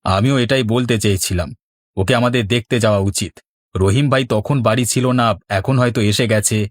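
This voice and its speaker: A man's voice: medium pitch, brisk, no room sound, clean studio sound, natural voice. A man playing a kindly, sensible middle-aged village father in a children's cartoon, earnest and reassuring. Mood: concerned.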